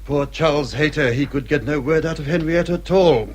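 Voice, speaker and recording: An English actor playing an easygoing, amiable young country squire and husband in a period radio drama, bluff and mild. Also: male voice, fairly high pitch, a little fast, a little hiss or hum, close to an everyday voice. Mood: mildly amused, easygoing. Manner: bluff, mild, good-humoured.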